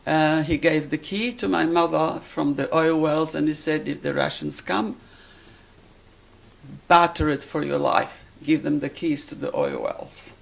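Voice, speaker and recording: An elderly woman from Poland with a Polish accent, recalling her life in a halting, deliberate way as she is interviewed. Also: deep voice, steady tone, vocal fry. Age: 80s or older. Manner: halting, deliberate, searching for words. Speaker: interviewee, life-story witness. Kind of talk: personal reminiscence in an interview.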